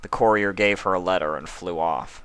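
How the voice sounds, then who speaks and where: A man narrates a scripted story in a low but bright voice. His delivery is deliberate and told like a storyteller, recorded very dry with no room sound. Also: clear, resonant tone, no room sound. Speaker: fan fiction reader and narrator.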